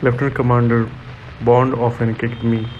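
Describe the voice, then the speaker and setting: A man's voice: medium pitch, little pitch movement, moderate pace, narrow, tinny audio, faint music behind, close to an everyday voice. A man playing a bitter wronged sailor. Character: bitter young Indian naval rating, wronged by officers. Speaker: voice of a sailor's testimony.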